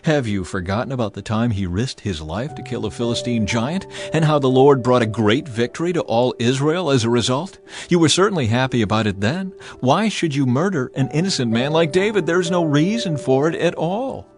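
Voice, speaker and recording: A man's voice: mid-range voice, expressive, normal speed, few pauses, over music, voice pushed up, lightly acted. A man voicing an earnest, loyal young prince who pleads and reassures. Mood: pleading.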